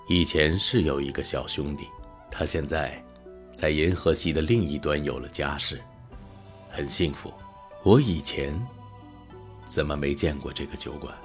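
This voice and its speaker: A man playing an elderly, wistful, unhurried god who wanders the stars, in an audio drama. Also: a bass voice, faint music behind. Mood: calm, reminiscing. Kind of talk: Mandarin audio drama acting.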